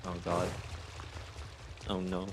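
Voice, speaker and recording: Slow, very low-voiced man streaming. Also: monotone, background noise. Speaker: game streamer. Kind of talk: casual live reaction. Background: game music.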